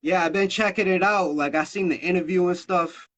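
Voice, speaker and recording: A man's voice: sits high, a little fast, fairly bright tone, highs cut off. A man in his thirties from Massachusetts, a rapper talking loosely and casually in an interview, swearing freely. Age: 30s. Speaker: rapper guest.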